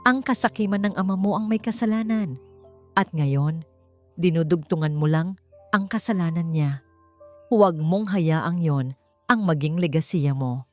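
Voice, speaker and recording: A woman voicing a calm, firm and earnest young man, the hero of a romance story. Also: on the low side, measured pace, pauses often, close to an everyday voice. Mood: stern. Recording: faint music behind.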